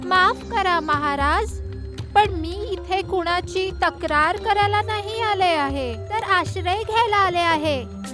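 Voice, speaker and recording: A woman voicing a timid young orphaned she-goat with a meek, pleading manner in an animated fable. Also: very high voice, slow pace, over music. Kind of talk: dubbed animated moral fable.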